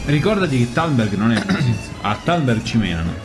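A man's voice: mid-range voice, very animated, a little fast. A man bantering casually while gaming. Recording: loud music over the speech. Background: loud music.

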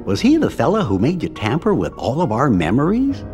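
A man voicing a folksy, curious, kindly cartoon character with a gentle, bumbling manner in a game cutscene. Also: medium pitch, huge pitch swings, a little fast. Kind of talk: animated game cutscene acting. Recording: long reverb, music behind.

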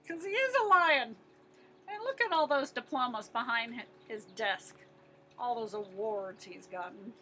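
Animated, high-voiced woman reading to children. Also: moderate pace, slight background noise. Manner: expressive, asks the listeners questions. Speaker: teacher reading aloud to pupils. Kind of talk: animated children's picture-book read-aloud.

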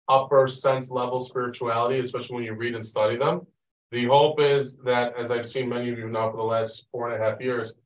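A man leads a study group in a casual, wandering way, full of asides. His medium-pitched voice comes across a meeting room, echoey and distant from the microphone. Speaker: Bible study leader. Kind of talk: casual group teaching and chat. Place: meeting room with long conference table. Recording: roomy, picked up from a distance.

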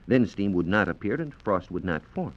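A deep-voiced American man explains science in a formal, scripted 1940s radio style. His pitch moves in a lively way through measured sentences. The sound is dull and band-limited, from an old transcription disc. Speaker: science reporter on a radio program.

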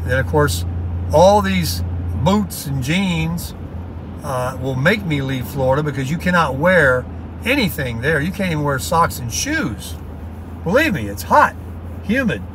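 A man's voice: fairly high pitch, lively intonation, unhurried, pauses often. A middle-aged man vlogging from his car, rambling in a casual, folksy, upbeat way. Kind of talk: casual rambling talk while driving. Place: inside a moving car. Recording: faint road noise in car. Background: road and car noise.

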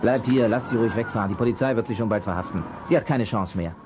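An actor playing a calm, clever private detective in a children's radio play, explaining things patiently and in measured steps. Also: male voice, lowish voice, a crowd in the background, noisy background, natural voice. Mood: calm, reassuring.

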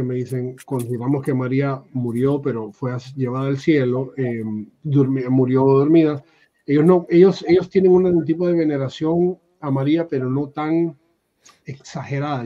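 A man's voice: fairly high pitch, mellow, husky. A Honduran man on a video call from a room at home, chatting at length in a panel and often restarting his sentences. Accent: Honduran Spanish. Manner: talkative, restarts mid-phrase. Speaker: guest. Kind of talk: casual panel chat. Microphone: webcam video call. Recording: dull lo-fi video call audio.

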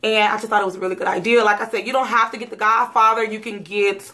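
A woman in her thirties, hoarse from a cold, chatting casually to camera in her bedroom about gift ideas, rambling with lots of "um" and "like". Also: mid-range voice, steady tone, fast talker, sharp tone, slight background noise. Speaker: YouTube vlogger. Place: bedroom at home. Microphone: camera mic, seated facing camera.

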